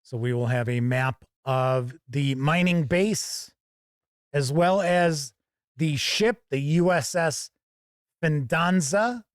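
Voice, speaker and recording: A man gives an unscripted tabletop game review in a casual, explanatory way. His voice sits a little high and he speaks slowly, phrasing things deliberately. The sound is close and dry, with very little room to it. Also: clean tone, dry recording.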